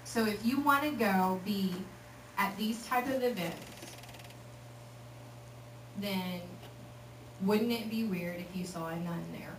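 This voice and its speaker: A woman's voice: mid-range voice, takes it slowly, pauses often. Woman from texas joking around with teens, echoey hall, mic far off, noisy. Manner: lively, joking, acts out voices. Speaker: youth speaker. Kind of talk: casual talk to a youth group.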